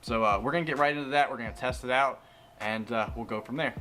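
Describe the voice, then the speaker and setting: Male vlog host, mid-pitched, casual and plain-spoken product reviewer, with music underneath. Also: fast. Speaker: host of a car tech review channel.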